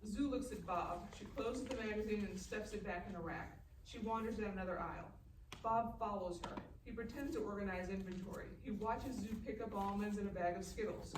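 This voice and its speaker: A woman's voice: mid-range voice, a little fast, recorded from a distance, background noise. Woman reading stage directions, echoey live room. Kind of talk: scripted narration at a live table read. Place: live festival event room.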